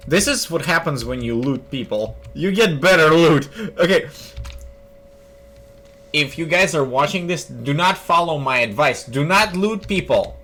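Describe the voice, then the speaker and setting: A man's voice: a little high, expressive, unhurried, pushed and bright. A young man casually commenting on a video game as he plays, thinking aloud and joking. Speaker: streamer. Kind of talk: gaming commentary. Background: faint game music.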